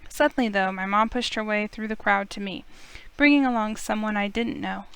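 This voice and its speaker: Clear-voiced young heiress narrating, acted by a woman. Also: medium pitch, fairly quick, fairly bright tone, natural voice. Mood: uneasy, reflective. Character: young heiress heroine, earnest, anxious, narrating her story. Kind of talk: scripted first-person visual novel narration.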